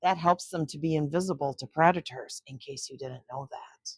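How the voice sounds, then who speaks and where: An older woman hosting story time for children, reading a picture book aloud and chatting gently to young listeners in between. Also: low voice, moderate pace, slightly rough, dry recording. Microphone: close microphone.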